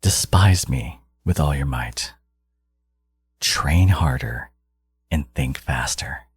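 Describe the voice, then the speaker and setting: A man playing a smug, possessive supervillain genius in an intimate audio roleplay, taunting his hero in a theatrical, teasing drawl with a gravelly, husky voice. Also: rumbling low, takes it slowly, no echo, exaggerated voice. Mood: intense, commanding.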